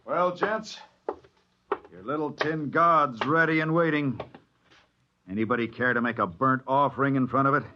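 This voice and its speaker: An actor playing a bitter, fatalistic spaceship engineer, sardonic and theatrical, in a 1950s radio drama on an old recording. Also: male voice, medium pitch, moderate pace, long pauses, highs cut off, noisy background, lightly acted. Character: bitter, fatalistic spaceship engineer, mocking and paranoid about machines.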